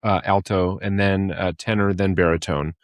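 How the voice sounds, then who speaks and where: A man's voice: low-pitched, little pitch movement, normal speed, dry recording, studio quality. A man co-hosting a podcast, easygoing and joking, often adding short remarks. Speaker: podcast co-host. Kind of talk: casual chat.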